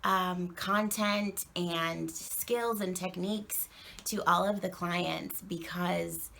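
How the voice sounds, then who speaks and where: A woman working as an acting and presentation coach, speaking in a naturally soft voice in an earnest, measured recorded statement with frequent ums. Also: fairly low pitch, steady tone, vocal fry.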